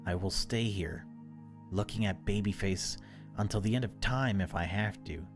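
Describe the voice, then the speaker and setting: A man's voice: fairly low pitch, moderate pace, lightly acted. A man voicing the solemn, brooding protagonist of a horror visual novel. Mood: grim revulsion. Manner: solemn, dramatic reading. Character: brooding horror-novel narrator facing a loathed creature. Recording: music behind the voice.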